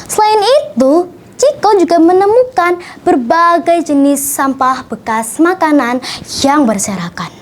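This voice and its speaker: A young female storyteller, reciting a children's tale in an expressive, deliberate, theatrical way. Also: very high voice, a little hiss or hum.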